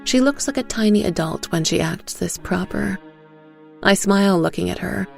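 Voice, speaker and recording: A woman giving scripted first-person narration for a fiction podcast in a soft, measured, dramatic manner. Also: mid-range voice, creaky, faint music behind.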